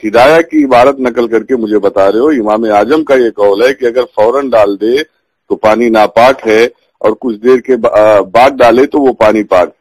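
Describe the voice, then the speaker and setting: A man's voice: mid-range voice, a little slow, a little mellow, husky, no echo. A man calling by phone to question a religious scholar, pressing his points in a formally polite but challenging way. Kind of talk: phone conversation, religious debate. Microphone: telephone. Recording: phone call recording.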